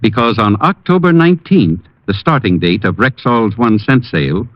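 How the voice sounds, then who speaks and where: A man reading a scripted 1940s radio advertisement as a friendly-druggist pitchman, with emphatic, measured salesmanship. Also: expressive, no echo. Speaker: commercial pitchman playing a family druggist. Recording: dull old radio broadcast recording.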